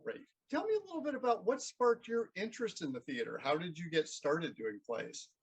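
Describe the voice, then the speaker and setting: Husky-voiced male interviewer. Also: high-pitched, animated, brisk, dull audio. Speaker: interviewer, host. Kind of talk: casual interview questions.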